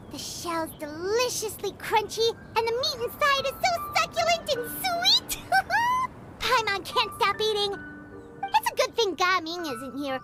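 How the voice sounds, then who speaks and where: A woman's voice: very high-pitched, full character voice. A woman voicing a tiny, bubbly floating companion creature in a game, who talks about herself in the third person. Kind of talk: game voice acting. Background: game music.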